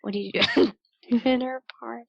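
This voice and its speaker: A woman's voice: a little high, steady tone, moderate pace, vocal fry, no echo, muffled recording. A woman narrating a tabletop role-playing game as its game master.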